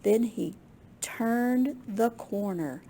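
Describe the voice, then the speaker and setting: A woman with a slightly husky, gravelly voice reading a picture book aloud to young children in an expressive way. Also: fairly high pitch, very slow, a little hiss or hum. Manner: expressive, with gasps and questions to young listeners. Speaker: children's librarian storyteller.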